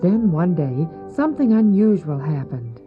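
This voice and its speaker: A woman's voice: on the low side, big pitch swings, normal speed. A woman narrating a scripted children's audio drama as storyteller. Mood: measured storytelling. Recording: dull old cassette drama, faint music.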